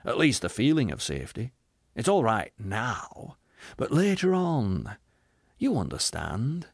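A man's voice: huge pitch swings, unhurried, vocal fry, husky, no room sound, clean studio sound, exaggerated voice. Man voicing a furtive, muttering little schemer. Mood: furtive, uneasy. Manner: low, muttering, confiding. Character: shrewd, furtive male schemer, conspiratorial and anxious.

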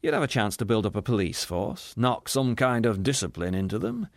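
A man voicing a shrewd, furtive schemer in a storybook, muttering and confiding in a low, anxious way. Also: very animated, brisk, gravelly, dry recording, studio quality. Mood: confiding, scheming.

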